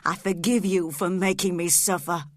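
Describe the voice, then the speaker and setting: A woman's voice: lowish voice, steady tone, normal speed, dry recording, clean studio sound, lightly acted. A woman reading a story voices a fierce, tormented young man crying out to his dying love. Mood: tender. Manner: wild, pleading, then hushed.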